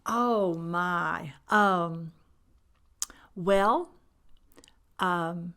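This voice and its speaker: A woman's voice: on the low side, extremely slow, a bit bright, smooth. A middle-aged or older woman with a Texan accent, chatting casually as a guest in a polite, folksy, self-deprecating way. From Paris, Texas, USA. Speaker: podcast guest, tourism director. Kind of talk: casual chat.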